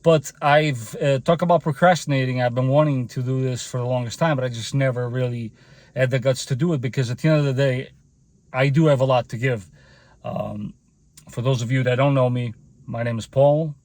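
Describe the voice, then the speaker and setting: A man's voice: medium pitch, fairly even intonation, brisk, a little mellow, no echo. A man in his forties from Portugal, speaking English with a Portuguese accent as a second language, talking to camera in an earnest, grateful way with many hesitations. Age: 40s. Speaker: channel host and mechanic. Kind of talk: casual heartfelt talk to camera.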